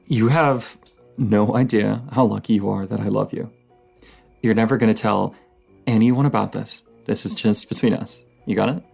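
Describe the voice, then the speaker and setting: A man playing a dorky, playful partner in an intimate audio roleplay, rambling, giggly and teasing, with a slightly creaky voice. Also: on the low side, fairly even intonation, normal speed, darkish tone, soft background music. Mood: reluctant, flustered. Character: dorky, playful young romantic partner, horror film nerd.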